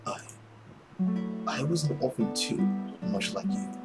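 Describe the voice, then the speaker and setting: A man reading a visual novel's withdrawn, melancholy orphan man in a soft, breathy voice. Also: mid-range voice, lively intonation, unhurried, close to an everyday voice. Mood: melancholy, hesitant. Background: guitar music.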